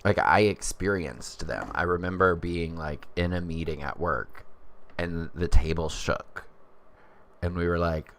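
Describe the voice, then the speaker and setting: A man's voice: low voice, measured pace, fairly bright tone. A man in his thirties chatting casually on a livestream, rambling as he reads viewer comments. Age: 30s. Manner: chatty and rambling, many fillers.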